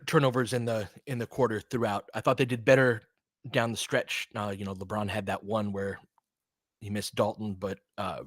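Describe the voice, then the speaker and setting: A man's voice: fairly low pitch, fairly bright tone, dry recording. A man giving live basketball play-by-play and casual analysis as host, conversational and informal, reacting to plays like a fan.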